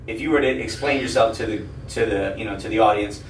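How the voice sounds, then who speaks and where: A man's voice: fairly low pitch, fast talker. A man hosting a podcast, chatting casually as he interviews a guest. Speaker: podcast host. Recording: echoey, distant mic with noise.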